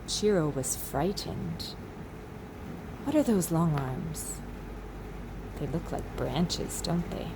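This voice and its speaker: Slow-paced woman reading a children's story. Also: low-pitched, lively intonation, background noise. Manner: slow, dramatic pauses, asks listeners questions. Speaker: teacher reading a story aloud. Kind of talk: expressive children's storybook read-aloud.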